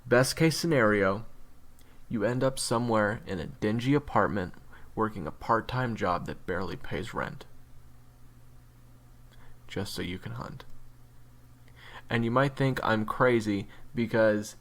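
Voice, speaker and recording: A man plays a world-weary monster hunter with a fairly low voice. He talks bluntly and earnestly in a halting, profane way, like a mentor levelling with a rookie in an audio roleplay. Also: a bit bright. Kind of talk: dramatic audio roleplay monologue.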